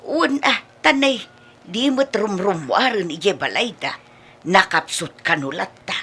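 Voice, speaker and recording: Husky, forceful Ilocano mother acted by a woman. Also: mid-range voice, lively intonation, unhurried, full character voice. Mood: exasperated. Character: exasperated, scolding older mother of grown daughter. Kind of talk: Ilocano radio comedy drama acting.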